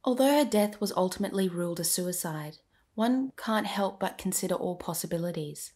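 A woman's voice: fairly low pitch, expressive, fairly quick, darkish tone, slightly rough. A woman narrating a scripted history podcast, speaking directly to the listener in a dramatic storytelling manner.

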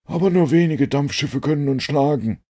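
A man voicing an eager, proud male police inspector in an audiobook, loud and emphatic. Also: a little high, moderate pace, pitched above the performer's own voice.

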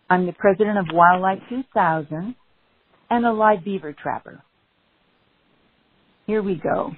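A woman's voice: on the low side, slightly rough. A woman reading a nature book aloud. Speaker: beaver trapper, reader. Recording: tinny phone-like sound.

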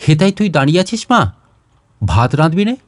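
A man's voice: on the high side, big pitch swings, a little fast, no room sound. A man voicing a hungry, impatient fourteen-year-old village boy in a storybook, blunt and complaining, with speech. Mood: impatient, puzzled.

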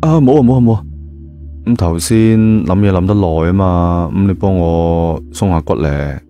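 A man's voice: low-pitched, moderate pace, dark tone, soft background music. A man playing a smug young security guard in an audio drama, teasing and flippant, with a Cantonese accent. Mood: cheeky.

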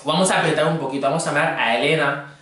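A man's voice: fairly high pitch, fairly even intonation, fast, pushed and bright. A young adult man chatting casually on prank phone calls as a fitness trainer. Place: indoor green screen studio. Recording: very echoey room, distant mic.

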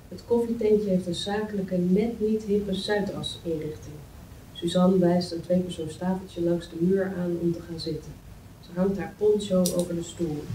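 A woman's voice: on the low side, mellow. A woman reading scripted stage directions at a table read. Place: meeting room. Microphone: far camera. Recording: roomy, distant mic, faint noise.

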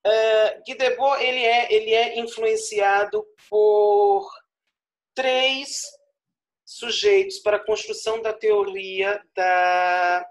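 A man's voice: high voice, flat delivery. A man in his forties with a northeastern Brazilian Paraíba accent, giving an online lecture over a video call headset, stretching out key words and checking in with "certo?". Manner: expansive, tags points with "certo?", stretches key words slowly. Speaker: guest lecturer. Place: small room at home. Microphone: earphone headset on video call.

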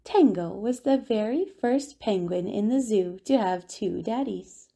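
Slow, mellow woman reading a picture book. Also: medium pitch, vocal fry, breathy edge. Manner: expressive, deliberate storytelling with drawn-out words. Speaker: children's storyteller at a family centre.

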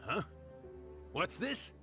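An actor voicing a gloating, menacing male yokai spirit in a video game, with a theatrical, drawn-out delivery. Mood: sly, gloating. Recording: game audio with loud music behind.

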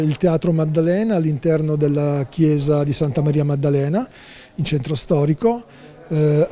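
A man's voice: a little high, warm tone. A man in his sixties being interviewed as a festival's artistic director, talking through a face mask with many fillers and restarts. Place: indoor office, wearing a face mask.

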